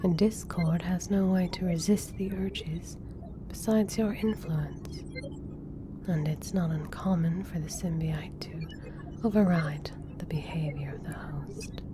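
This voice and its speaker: Composed female doctor voiced by an actress: slow, lowish, formal and clinical, audio roleplay with clear background noise. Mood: analytical. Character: intelligent, composed female government scientist doctor. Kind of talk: ASMR roleplay drama.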